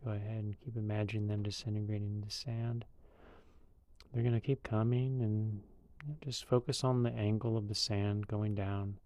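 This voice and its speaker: A man leading a guided meditation in a calm, unhurried, loosely conversational way. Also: on the low side, no room sound, lo-fi sound.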